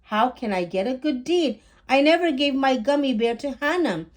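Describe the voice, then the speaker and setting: A woman's voice: high-pitched, lively intonation, moderate pace, pitched above the performer's own voice. A woman voicing an eager young boy in a children's story, easily disappointed. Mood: puzzled.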